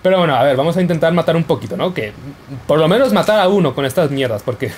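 A man's voice: on the high side, expressive, quick pace, a little hiss or hum. A young man giving casual, joking commentary over his gameplay. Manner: playful, jokey, yelps and laughs while playing. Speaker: gaming YouTuber.

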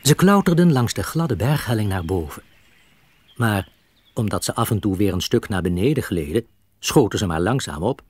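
A man's voice: on the low side, big pitch swings, moderate pace, darkish tone, slightly rough. A man narrating a scripted children's story as its storyteller.

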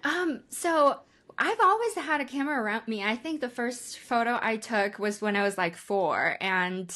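A woman's voice: a little high, expressive, normal speed, bright, forceful tone. A woman in her thirties from China, chatting candidly and casually as an interview guest on a video call. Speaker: guest, photographer. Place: indoor room, remote video call.

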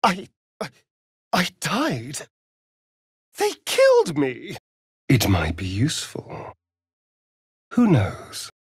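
An actor playing a sly, vain vampire rogue in a video game, with a theatrical, arch, drawling delivery. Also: male voice, on the high side, wildly swooping pitch, drawn-out words, long pauses, husky, dry recording, clean studio sound, full character voice. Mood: anguished, angry.

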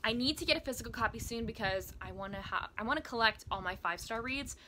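A woman in her twenties talks casually and emphatically, like a vlogger reviewing books. Her voice is bright and forward, sitting a little high. There is some noticeable noise behind her in the recording. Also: fast. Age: 20s. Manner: enthusiastic, emphatic. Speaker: book vlogger. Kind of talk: casual chatty book review to camera. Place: small indoor room with bookshelves.

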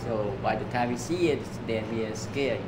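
Male interviewee, mid-pitched, non-native broken English, clear traffic and hum behind. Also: moderate pace. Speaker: restaurant owner being interviewed. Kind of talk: plain interview answers. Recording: noisy street and air-conditioning hum.

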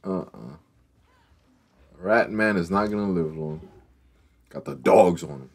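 Dude streaming a game, real low voice, slangy trash talker, just chatting away. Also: male voice, animated, slow pace, breathy edge. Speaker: gaming streamer. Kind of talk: casual chat over gameplay.

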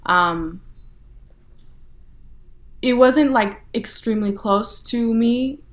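A young woman from North Texas, speaking as an interview guest in a relaxed way with lots of "you know" and "like" fillers. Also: a little high, extremely slow. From North Texas, USA. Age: young adult. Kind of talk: casual interview talk.

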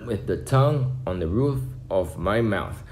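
Male tutorial host, twenties, unhurried and animated, simple step-by-step teaching, recorded at home. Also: on the low side, soft background music. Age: 20s. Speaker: beatbox instructor. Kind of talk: casual tutorial to camera. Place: small home room indoors. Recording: faint background noise, home room.

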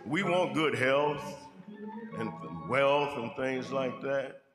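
An older American man at a church pulpit, reading scripture and welcoming the congregation in a slow, folksy call-and-response way. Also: mid-range voice. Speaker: church elder giving the welcome. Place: large church sanctuary. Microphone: pulpit mic on a stand. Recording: echoey church hall with music.

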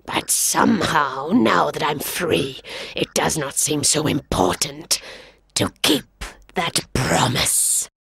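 A woman voicing a proud, hungry male lion king with a deep, breathy growl, speaking slowly and menacingly in a storybook. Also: lively intonation, a bit bright, full character voice. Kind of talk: storybook read-aloud.

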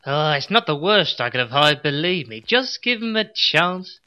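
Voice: medium pitch, sing-song, moderate pace, highs cut off, close to an everyday voice. An actor playing a weary, self-pitying evil-genius doctor in a comedy audio drama, grumbling with resigned melodrama. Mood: scheming.

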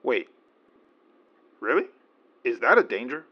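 A man plays a weary sleuth in a horror audio drama. He is skeptical and speaks slowly at a medium pitch. The recording is slightly dull. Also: very animated. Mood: wary. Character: Donner, weary, skeptical male supernatural sleuth. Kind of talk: naturalistic audio drama dialogue.

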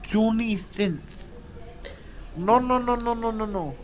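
A young man talks into a webcam in a slow, dragging voice. He sounds panicked and keeps repeating himself. Clear background noise sits under his voice. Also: high voice. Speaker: video chat stranger. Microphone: webcam video call. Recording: noisy webcam audio.

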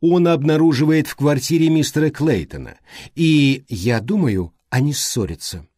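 A man's voice: fairly high pitch, expressive, normal speed, warm tone, no room sound, studio quality. A man voicing a reserved, literal-minded female secretary in an audiobook, speaking in a hesitant, matter-of-fact way. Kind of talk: audiobook character dialogue.

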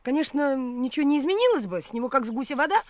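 A woman's voice: high-pitched, animated, fast, a little mellow. An actress playing a capricious, teasing, bored young provincial woman in a radio play, mocking and imperious. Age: young adult.

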